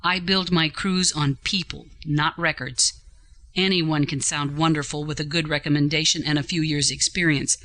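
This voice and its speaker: A woman acting a starship captain with a bright, forward voice. She is measured and articulate, carrying principled authority in a science-fiction radio play. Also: low voice, fairly even intonation, dry recording. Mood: earnest conviction. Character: composed, principled female starship captain of middle years.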